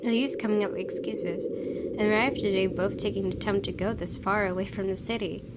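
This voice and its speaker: A woman's voice: medium pitch, fairly even intonation, a little fast, heavy background noise. A woman reading visual novel narration aloud expressively as a gaming video host. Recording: clear music under the voice.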